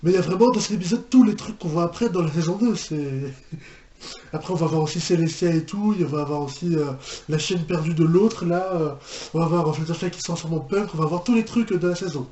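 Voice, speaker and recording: Higher-voiced Frenchman rambling in an echoey room. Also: a little fast, mellow, dull audio. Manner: hesitant, rambling, repeats phrases. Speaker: reaction video host. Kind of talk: casual spoken reaction commentary. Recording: echoey room with background noise.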